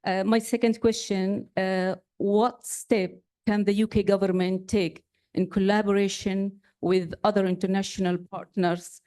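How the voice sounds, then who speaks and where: A woman's voice: mid-range voice, flat delivery, measured pace, warm tone. A woman from Syria, a Kurdish speaker, politely asking a question from the audience with frequent "uh" fillers. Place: event hall.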